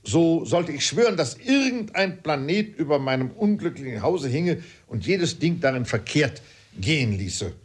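A man voicing an irascible middle-aged gentleman who exclaims and sputters indignantly, in a storybook reading. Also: a little high, sing-song, moderate pace, husky, pitched above the performer's own voice. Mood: exasperated. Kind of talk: theatrical audiobook character voice.

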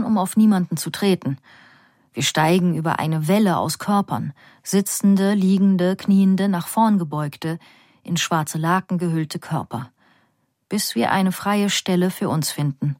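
A woman narrating a first-person story in a calm, measured, vivid way. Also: fairly low pitch, no echo, studio quality. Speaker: audiobook narrator.

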